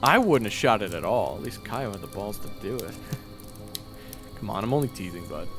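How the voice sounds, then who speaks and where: A man's voice: fairly low pitch, expressive, brisk, fairly bright tone. A man voicing a playful, cocky male swordsman in an audio roleplay. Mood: teasing. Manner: teasing, wisecracking. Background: background music.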